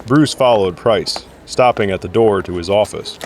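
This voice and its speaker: A man's voice: on the low side, normal speed, slight background noise. A man giving scripted story narration as the narrator of an audio drama.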